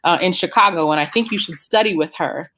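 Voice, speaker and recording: A woman in her thirties with a notably low speaking voice answers interview questions. She talks reflectively, pausing on fillers and restarting her sentences as she goes. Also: sharp tone, slight background noise. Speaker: opera singer, interview guest.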